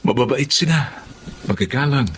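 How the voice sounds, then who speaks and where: A man's voice: mid-range voice, moderate pace, fairly bright tone, a little hiss or hum, close to an everyday voice. An actor playing a kind, humble Filipino father figure in a radio drama. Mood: warm, cheerful.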